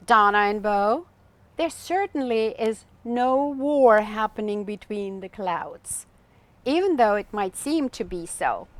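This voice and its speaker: A kindly weather-expert uncle from a storybook, as a woman reads him. He explains patiently at a very unhurried pace, in a light, fairly high voice with plenty of lilt. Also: dry recording.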